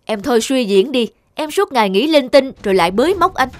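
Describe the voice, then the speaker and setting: A woman's voice: high voice, lively intonation, fairly quick, a little hiss or hum. A woman voicing a devoted young man in love in a cartoon, plain-spoken and earnest. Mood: tired, irritated. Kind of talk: cartoon dubbing.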